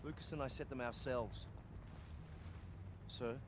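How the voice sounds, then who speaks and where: A man's voice: mid-range voice, steady tone, unhurried, noisy background, close to an everyday voice. An actor playing a mouthy, sarcastic, coarse young male private in a video game cutscene. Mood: alarmed.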